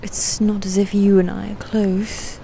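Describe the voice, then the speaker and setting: Slow, hushed, proud demon knight woman acting. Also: mid-range voice, flat delivery, slight background noise. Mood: defensive, flustered. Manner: hushed, breathy, haughty, halting. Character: proud, guarded female demon knight, teasing and secretly fond. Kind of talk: ASMR roleplay, intimate half-whispered acting.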